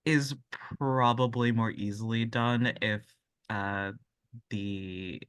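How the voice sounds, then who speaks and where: A man's voice: lowish voice, fairly even intonation, slow pace, a bit bright, smooth, dry recording. A man playing a tabletop game, casually and tentatively talking through his character's choices. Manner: tentative, thinking aloud.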